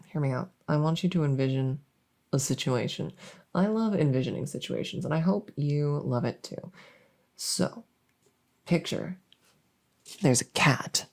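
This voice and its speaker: A woman playing a silly, harmless female monster under the bed in an ASMR roleplay, soft and often whispering, rambling and repeating herself with playful earnestness. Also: fairly low pitch, vocal fry. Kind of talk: whispery ASMR audio roleplay, addressing the listener.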